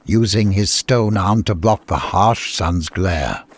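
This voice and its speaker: A man's voice: low voice, slow pace, breathy edge. A man reading a scripted adventure story as an audiobook narrator.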